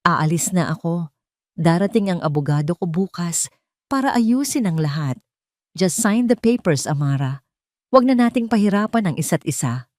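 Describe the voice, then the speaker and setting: A woman voicing a cold, dismissive husband in an audio drama, speaking flatly and with contempt. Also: on the low side, normal speed, no echo, studio quality, lightly acted. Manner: flat, emotionless, contemptuous. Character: cold, dismissive husband leaving his pregnant wife.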